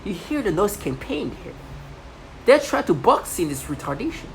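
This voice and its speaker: A woman's voice: medium pitch, very animated, normal speed, vocal fry. A woman in her thirties in a rambling personal monologue, agitated and repetitive, with many restarts. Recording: noisy home recording.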